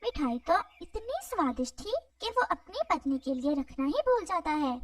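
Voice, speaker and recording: A woman's voice: extremely high pitch, sing-song, normal speed, far from the mic. A woman narrating a scripted moral story for children.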